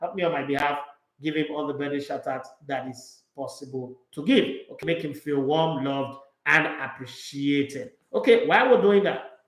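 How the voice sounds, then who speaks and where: A high-pitched man talks football in a loose, opinionated way and makes jokey asides. The room around him is echoey. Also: normal speed. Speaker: sports talk show host. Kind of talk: casual sports talk, reading news and viewer comments.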